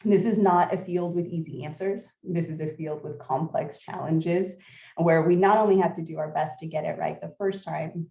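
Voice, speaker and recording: A woman from California giving remote welcome remarks as an institute president, hoarse and clearing her throat often. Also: low voice, warm tone. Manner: frequent throat clearing, measured. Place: at home, remote video link. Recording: dull, echoey remote audio.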